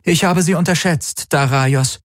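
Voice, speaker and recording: An imperious aristocratic woman in command of a fleet, acted out by a male narrator. The pitch is lifted and the delivery is coolly threatening, with an unyielding edge. It is a science fiction audiobook figure in a dry recording. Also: unhurried, dry recording, close to an everyday voice. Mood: cold concession.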